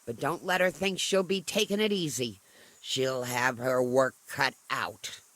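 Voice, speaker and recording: An actor playing a gruff, surly old peasant who is curt, hostile and grumbling, in a video game cutscene. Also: male voice, mid-range voice, steady tone, unhurried, a bit bright, dry recording, lightly acted. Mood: grudging, threatening. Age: older. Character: gruff, surly old peasant parent-in-law, grudging and foul-mouthed.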